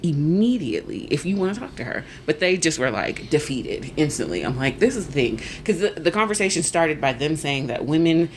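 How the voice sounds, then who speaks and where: Woman, 40s, low voice, animated podcast guest storyteller, light background noise. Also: fairly quick, few pauses. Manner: animated storyteller, acts out voices, laughs often. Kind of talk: casual storytelling chat.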